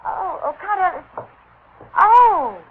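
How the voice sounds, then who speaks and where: Lively, coy radio temptress. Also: female voice, very high voice, very slow, full character voice. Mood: teasing, coy. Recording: muffled, faintly noisy old radio recording.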